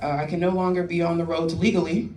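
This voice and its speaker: A woman's voice: fairly low pitch, flat delivery, quick pace, crowd noise. Young woman doing stand-up in an echoey club. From New Orleans, US. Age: 20s. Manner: tags jokes with "right?" and laughs at own punchlines. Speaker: stand-up comedian. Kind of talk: stand-up set. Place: comedy club stage. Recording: echoey room, distant mic, noisy.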